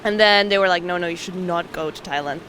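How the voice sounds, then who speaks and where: A woman's voice: fairly low pitch, quick pace, a bit bright. Young woman from mexico chatting in a street interview, bit of traffic noise behind. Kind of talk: casual interview answers.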